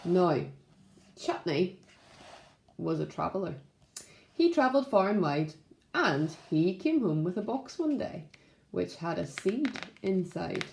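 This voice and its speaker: A woman's voice: lowish voice, sing-song, slow pace, creaky, long pauses, a little mellow, a little hiss or hum. A woman speaking expressively to young children like a primary teacher, in a storybook read-aloud style. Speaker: primary school teacher reading to class. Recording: roomy, echoing room sound.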